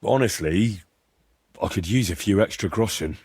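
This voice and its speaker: An actor playing a plain-spoken, sceptical young medieval adventurer in a video game, with a down-to-earth, conversational manner. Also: male voice, lowish voice, moderate pace, a little mellow, husky. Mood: frank. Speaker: protagonist of a game. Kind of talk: video game cutscene dialogue.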